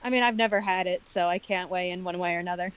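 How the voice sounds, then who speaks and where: A woman's voice: lowish voice, fast talker, clean tone, rough recording. A woman chatting casually as a commentator, explaining her points in a matter-of-fact way. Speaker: guest commentator on a comedy reading show. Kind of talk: casual chat.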